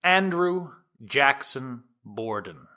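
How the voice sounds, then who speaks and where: An actor playing an earnest, grave prosecutor in a courtroom audio drama, addressing the jury in emphatic, deliberate, formal oratory. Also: male voice, mid-range voice, lively intonation, bright, forceful tone, full character voice. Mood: measured, explanatory.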